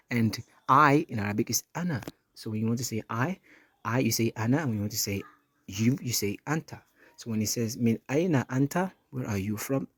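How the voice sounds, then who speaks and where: A man's voice: medium pitch, slightly rough, no echo. A man teaching an Arabic lesson, explaining each rule patiently and repeating points with small fillers.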